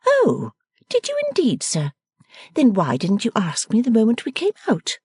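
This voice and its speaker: A woman's voice: a little high, wildly swooping pitch, normal speed, dark tone, breathy edge, no echo, studio quality, pitched above the performer's own voice, full character voice. A woman reader voicing a playful, teasing young woman who talks in an emphatic, theatrical way.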